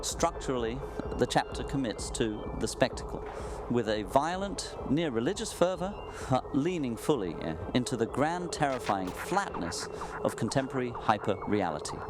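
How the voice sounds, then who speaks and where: Animated man reviewing through background noise. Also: mid-range voice, normal speed. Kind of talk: scripted satirical review.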